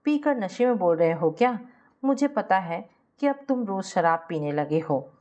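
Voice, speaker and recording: A woman's voice: medium pitch, expressive, normal speed, darkish tone, highs cut off, close to an everyday voice. A woman voicing a hurt, suspicious estranged wife with a curt, accusing manner in a family story.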